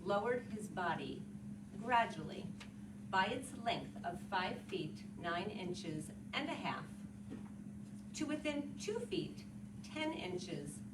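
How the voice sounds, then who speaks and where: A woman's voice: mid-range voice, very animated, slow pace. A woman giving a dramatic reading of literary prose. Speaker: literary reader. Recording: roomy, distant mic, loud background noise.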